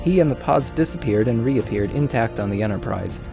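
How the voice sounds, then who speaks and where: A man's voice: mid-range voice, lively intonation, fairly quick, gravelly, music in the background, rough recording. A man reading a story aloud in an informal way, sometimes stumbling over lines. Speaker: fan fiction reader.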